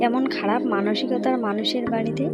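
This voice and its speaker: High female voice, poor village mother, humble, plaintive, loving, cartoon drama acted by a woman. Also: steady tone, a little fast, lo-fi sound, loud music behind the voice, lightly acted. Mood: worried. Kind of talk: Bengali cartoon story voice acting.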